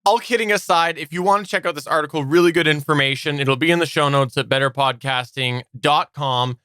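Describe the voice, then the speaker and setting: A man's voice: a little high, fairly quick, bright, forceful tone, smooth, dry recording, clean studio sound. A man chatting casually as the co-host of a podcast.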